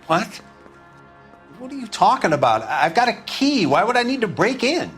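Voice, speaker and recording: A man's voice: sits high, big pitch swings, moderate pace, fairly bright tone, soft background music. An actor playing a browbeaten American husband in a crime game, a wounded, defensive suspect pleading his case in plain words. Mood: bewildered protest. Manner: pleading, plain-spoken, self-pitying. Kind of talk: dramatic video game acting.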